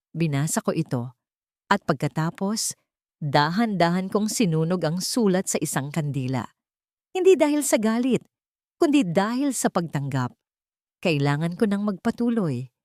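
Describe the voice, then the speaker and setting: A woman reading a scripted first-person dramatic story in a measured, expressive way. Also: lowish voice, dry recording, clean studio sound. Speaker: audio story narrator.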